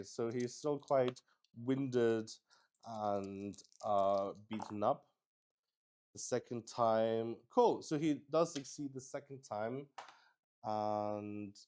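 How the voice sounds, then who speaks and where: A man casually improvising play-by-play of a solo tabletop game as its host, haltingly thinking aloud and talking to himself. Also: lowish voice, darkish tone, slight background noise.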